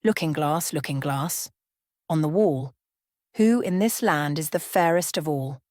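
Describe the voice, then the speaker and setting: A wicked fairytale queen, acted out by a woman reader, vain and menacing. She speaks in a lowish, dramatic voice, recorded close and dry. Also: lively intonation, unhurried, darkish tone, no echo. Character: wicked, vain, jealous witch queen, raging and scheming.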